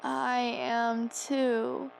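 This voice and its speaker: A woman playing a subdued, sickly young child in a stylized horror drama, speaking simply and haltingly. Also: on the high side, little pitch movement, gravelly, a little hiss or hum, exaggerated voice.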